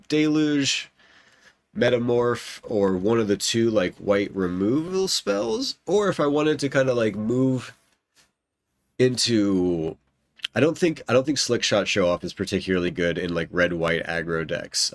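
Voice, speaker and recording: A young man with a blocked, congested nose talks through his picks in a low voice, rambling and restarting mid-sentence. The sound is dry and close, with no room around him. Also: no echo. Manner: rambling, self-correcting, lots of ums and likes. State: stuffed-up nose. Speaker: gaming YouTuber. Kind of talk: casual commentary while drafting a card game.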